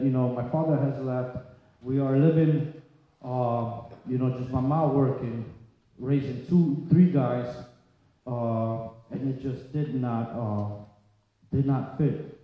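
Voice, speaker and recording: Man in a very echoey hall. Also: mid-range voice. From Poland. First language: Polish. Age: 30s or 40s. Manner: halting, plain-spoken delivery in short phrases. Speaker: speaker sharing his story at a recovery meeting. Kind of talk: personal testimony to a group. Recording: echoey hall, long reverb, slightly dull sound.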